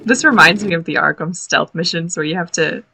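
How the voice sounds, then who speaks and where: A woman giving casual, expressive live reaction commentary on a cartoon as a video host, full of exclamations. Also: on the low side, fairly quick, creaky. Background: cartoon soundtrack playing.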